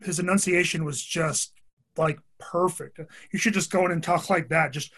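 Husky man, slightly high voice, wisecracking podcast co-host. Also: a bit bright. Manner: wisecracking, quick asides. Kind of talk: casual podcast chat.

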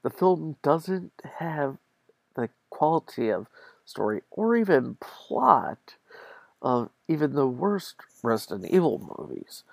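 A man hosting a podcast, reading an article aloud with commentary in a halting delivery that has stumbles and self-corrections. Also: medium pitch, vocal fry, no room sound. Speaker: podcast host.

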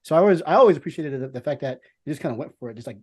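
A man's voice: fairly high pitch, lively intonation, very fast, darkish tone. A middle-aged man from New York chatting casually as a podcast co-host, rambling, repeating words and swearing freely. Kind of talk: casual film chat.

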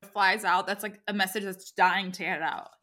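A woman with a medium-pitched voice speaks as a podcast co-host, moving between casual chat and reading out tarot cards. Her manner is informal and encouraging. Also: steady tone, normal speed, bright, forceful tone.

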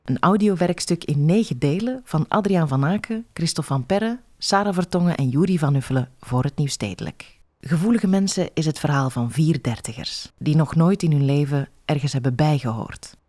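A Flemish woman with a low voice presents a podcast from a script. The sound is close and dry, without room echo. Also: normal speed, a little mellow. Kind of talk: scripted introduction.

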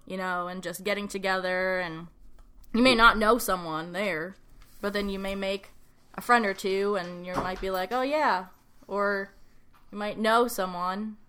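A woman's voice: medium pitch, fairly even intonation, unhurried, bright, forceful tone, smooth. A woman in her twenties co-hosting a casual podcast, easygoing and chiming in to finish her partner's thoughts. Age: 20s. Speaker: podcast co-host.

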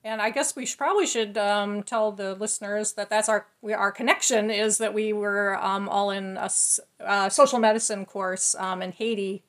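A woman hosting a podcast, a nursing teacher, talking in a measured, reflective, academic way. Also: mid-range voice, steady tone. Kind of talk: conversational podcast talk.

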